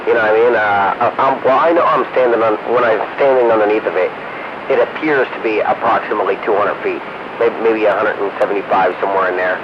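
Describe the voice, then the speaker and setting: A man's voice: on the low side, fast. An American man chatting casually over two-way radio, rambling and often checking that he is being followed. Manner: rambling, peppered with "you know what I mean". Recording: muffled, noisy two-way radio transmission.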